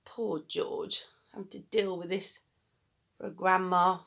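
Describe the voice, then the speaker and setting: A woman's voice: on the low side, little pitch movement, takes it slowly, creaky, a bit bright. A woman reading a children's storybook aloud expressively, as a teacher would to pupils.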